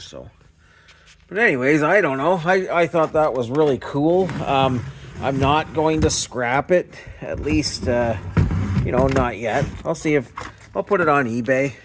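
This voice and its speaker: A man casually showing and explaining things as a video host, in a halting, unsure way. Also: mid-range voice, background noise. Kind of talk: casual show-and-tell explanation.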